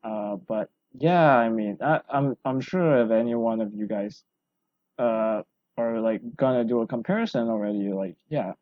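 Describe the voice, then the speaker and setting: Rambling hoarse man hosting a podcast. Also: mid-range voice, very animated, normal speed, dry recording, phone-quality sound. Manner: rambling, self-deprecating, full of fillers and restarts. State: hoarse from long talking. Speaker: podcast host. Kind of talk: rambling solo podcast chat.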